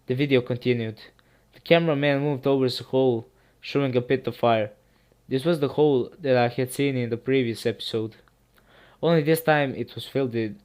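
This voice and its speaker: Male horror narrator, sick, clean rounded tone, steady and earnest. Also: mid-range voice, clean tone. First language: Serbian. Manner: earnest, steady reading with small restarts. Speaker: YouTube narrator. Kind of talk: first-person horror story narration.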